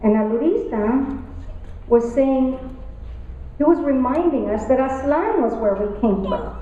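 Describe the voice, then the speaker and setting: A woman's voice: high-pitched, lively intonation, unhurried, warm tone. A woman from San Antonio, giving a rhythmic, emphatic public introduction of a poet at a university. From West Side of San Antonio, Texas. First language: Tex-Mex. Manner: rhythmic, repetitive oratory with emphatic rises. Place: university lecture hall. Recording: distant, echoey, muffled hall recording.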